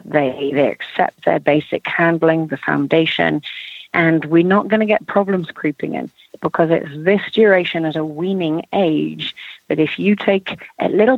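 Female horse trainer, gravelly edge, emphatic step-by-step interview explainer, thin phone audio. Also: deep voice, no room sound. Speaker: horse trainer and clinic instructor. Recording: band-limited phone line.

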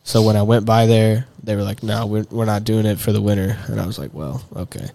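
A young man with a drawl talks loosely and at length, leaning on fillers and restarting his sentences. His voice sits a little low, and it is recorded close and dry with no room sound. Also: steady tone, a little fast, vocal fry, mellow, no echo. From Texas. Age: young adult. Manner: rambling, many fillers and restarts. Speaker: guest. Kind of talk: casual chat.

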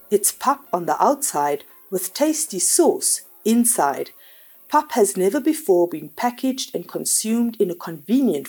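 A woman's voice: mid-range voice, darkish tone, slightly rough. A woman host reading a scripted, upbeat podcast introduction.

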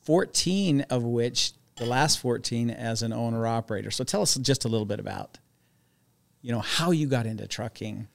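A man's voice: mid-range voice, normal speed, creaky. A man co-hosting a casual podcast, upbeat and joking, with frequent fillers. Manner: upbeat, tells dad jokes, uses fillers. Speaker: podcast co-host.

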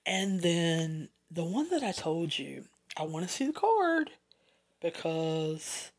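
Southern-accented solo podcaster, unhurried, wanders into side chatter, corrects themselves. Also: mid-range voice. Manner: rambling, chatty asides, self-corrections. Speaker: podcast host. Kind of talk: casual solo podcast rundown.

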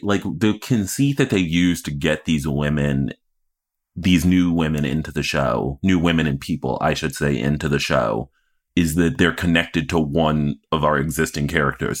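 Low-voiced man bantering on a podcast. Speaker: podcast co-host. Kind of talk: casual banter about a TV show.

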